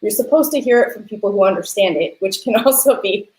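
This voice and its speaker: A woman's voice: medium pitch, fast talker, a little mellow, roomy sound, low-quality recording. A woman hosting a conversational interview about her field, speaking in an earnest, explanatory way. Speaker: host and theatre lighting designer.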